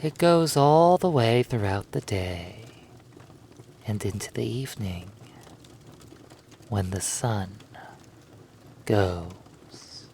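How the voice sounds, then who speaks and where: A man voicing a calm, commanding vampire with a slow, formal, menacing manner in a hypnosis roleplay. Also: lowish voice, slight background noise, full character voice. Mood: languid, hungry. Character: predatory, commanding male vampire stranger, hungry and calm. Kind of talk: hypnosis roleplay audio acting.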